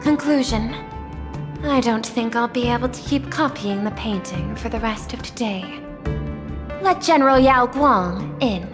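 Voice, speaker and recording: A woman playing a refined, calculating machine leader in a video game, speaking formally and analytically with calm courtesy. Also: a little high. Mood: analytical, musing. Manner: measured, formal, analytical wording. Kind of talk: scripted game voice acting. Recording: long reverb, background music.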